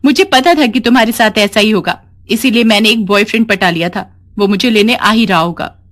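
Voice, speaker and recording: A woman voicing a haughty, greedy young wife in a moral story, with a sharp, contemptuous manner. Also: mid-range voice, fairly quick, lightly acted. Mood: angry, scornful. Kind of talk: animated moral story dialogue.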